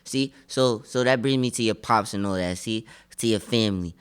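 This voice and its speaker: A man's voice: lowish voice, moderate pace, smooth. A man hosting a casual hip-hop interview, asking loose, slangy questions and calling the guest "bro". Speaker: interview host.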